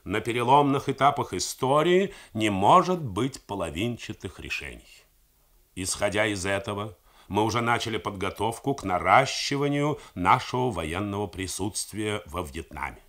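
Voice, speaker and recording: A middle-aged man reading a novel aloud in a measured, theatrical 1980s radio studio style. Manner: measured, expressive theatrical delivery with wide pitch swings.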